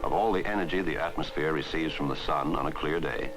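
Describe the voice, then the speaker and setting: A man reading scripted narration for a 1960s educational film in a measured, formal way. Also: a bass voice, low-quality recording. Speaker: documentary narrator. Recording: 1960s film soundtrack, loud music. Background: loud background music.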